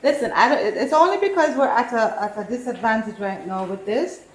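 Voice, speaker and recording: A woman's voice: a little high, lively intonation, fairly quick, reverberant, poor audio. A woman with a New York and Jamaican accent, chatting opinionatedly and laughing easily while she cooks in a rental kitchen. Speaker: cooking competitor. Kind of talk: casual chat while cooking.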